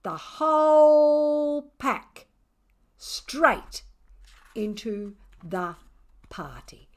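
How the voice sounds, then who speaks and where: A woman voicing a mischievous, sarcastic, self-satisfied male cat who tells his story theatrically, with dramatic pauses. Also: high voice, very animated, pitched above the performer's own voice, heavily acted. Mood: defensive, dramatic.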